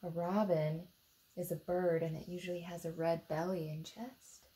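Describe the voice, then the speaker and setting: A woman in her thirties with an American accent, reading a picture book aloud to young children expressively, pausing to ask them simple questions. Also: lowish voice, steady tone, dark tone, rough recording. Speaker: storytime reader for children. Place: indoor room beside a book display.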